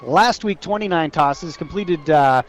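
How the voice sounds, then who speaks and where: A man's voice: fairly high pitch, lively intonation, unhurried. An American man giving analytical color commentary on a live radio football broadcast, explaining things in a conversational, folksy way. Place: outdoors at a football stadium. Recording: slightly dull radio broadcast audio.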